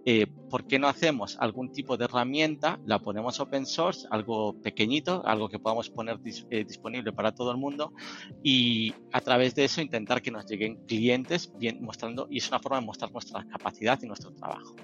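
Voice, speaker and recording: A man's voice: mid-range voice, a little fast, a bit bright, muffled recording. Spanish-accented man as interview guest, rambling and talkative, light music bed. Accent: Spain Spanish. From Spain. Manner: talkative, rambling with many fillers. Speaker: guest, software company founder. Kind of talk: casual interview talk.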